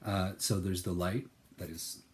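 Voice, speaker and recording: A man with a lowish voice talks through a personal reflection, earnest and searching, often restarting his words. He drops to soft, quiet phrases, then breaks into loud, emphatic bursts. A faint hiss of room noise sits behind him. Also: fairly even intonation, measured pace. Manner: earnest, searching, repeats and restarts words while thinking aloud. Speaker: channel host.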